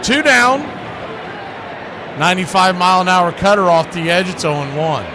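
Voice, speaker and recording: A man doing excitable play-by-play baseball commentary, folksy and emphatic, mixed with casual banter. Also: sits high, moderate pace, background noise.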